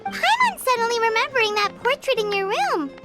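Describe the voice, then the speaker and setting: A woman's voice: very animated, brisk. A woman voicing a tiny, chirpy, curious female fairy companion in a video game, who speaks of herself in the third person. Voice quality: high squeaky voice, refers to herself in the third person. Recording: game audio with music behind. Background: soft music.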